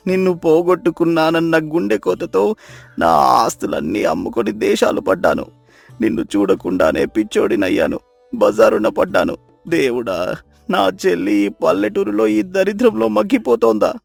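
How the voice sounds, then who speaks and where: A man's voice: fairly high pitch, sing-song, moderate pace, gravelly, no echo, heavily acted. A man voicing a broken, half-mad beggar in a melodramatic moral story, pitiful, emotional and wailing. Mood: anguished. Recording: music behind the voice.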